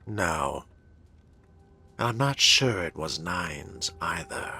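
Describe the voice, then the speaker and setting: A man's voice: sits low, lively intonation, takes it slowly, sharp tone, slight background noise. A man role-playing his game character's dialogue in a terse, formal manner.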